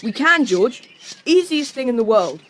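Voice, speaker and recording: An actor playing a confident, polite schoolboy who leads child adventurers in an audiobook drama, brisk and decisive. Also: mid-range voice, big pitch swings, fairly bright tone, a little hiss or hum. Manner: brisk, decisive, well-mannered.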